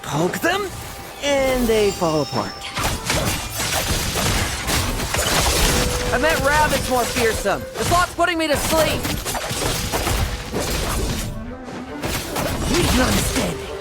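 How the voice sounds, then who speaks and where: A woman's voice: high voice, very animated, a little slow, full character voice. A woman playing a cocky, sardonic young male knight in a video game, with a smug, teasing drawl. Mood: cocky, taunting. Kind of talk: video game character acting. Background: game music.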